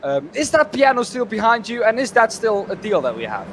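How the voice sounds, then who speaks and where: A man's voice: high voice, sing-song, fairly quick, background noise. A man co-commentating a sim race, speaking with hesitation and lots of filler words, polite and careful. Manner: hesitant, many ums, polite.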